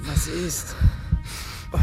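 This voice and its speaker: A man's voice: extremely slow, a little mellow. An actor playing a young male military cadet, groggy and irritable, in a German radio drama. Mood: sleepy, irritated. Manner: sleepy, irritable, dismissive.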